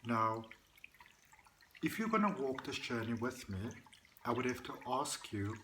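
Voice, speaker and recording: A man leading a guided meditation, speaking to the listener in a deliberate, soothing way with repeated phrases. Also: mid-range voice, big pitch swings, noisy background. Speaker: guided meditation practitioner and host.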